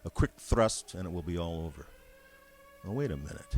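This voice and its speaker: An actor playing an excited radio field reporter, giving breathless eyewitness commentary in 1930s radio drama style. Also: male voice, low voice, normal speed, gravelly. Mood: hushed, watchful. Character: excited male radio field reporter witnessing an alien machine.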